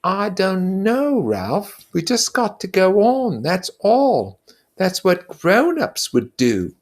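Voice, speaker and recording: A man's voice: sits high, huge pitch swings, slow pace, pitched above the performer's own voice, heavily acted. A man voicing an anxious, earnest schoolboy in a plaintive, insistent way for a storybook reading. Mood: troubled. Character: anxious, earnest, brainy schoolboy, deeply troubled.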